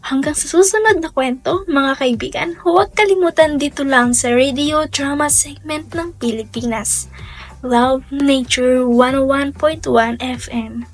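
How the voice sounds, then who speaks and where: A woman's voice: high-pitched, unhurried. A woman presenting as a radio DJ in a scripted hosting style. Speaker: radio DJ and host. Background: music.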